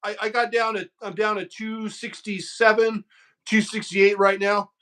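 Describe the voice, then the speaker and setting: A man's voice: sits high, level tone, moderate pace, bright, forceful tone. A man in his fifties hosting a casual conversation, telling loose stories and swearing freely. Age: 50s. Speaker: host.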